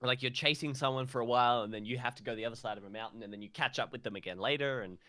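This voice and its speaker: A man's voice: mid-range voice, rapid-fire, bright, forceful tone, no echo. A man co-hosting a podcast, chatting casually about games with a friend. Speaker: podcast co-host.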